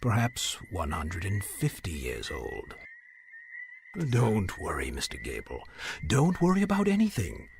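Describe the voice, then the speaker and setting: Over clearly noisy ambience comes a sinister magician's mechanic who runs a carnival dark ride. He is courtly and mock-polite, with a theatrical delivery that swoops enormously in pitch, in the manner of an audio drama villain. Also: huge pitch swings, measured pace, heavily acted. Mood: coldly soothing.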